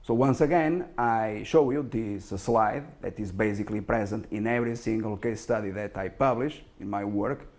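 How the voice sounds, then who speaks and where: A man who is not a native English speaker giving self-promotional stock market commentary, speaking in a halting but emphatic, self-assured way. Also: on the low side, a little mellow. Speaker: stock market analyst and presenter.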